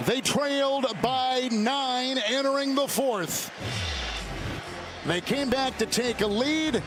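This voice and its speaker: A man's voice: extremely high pitch, slow pace. A man calling a basketball game live as a play-by-play announcer. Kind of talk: live sports commentary. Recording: broadcast with loud crowd and music.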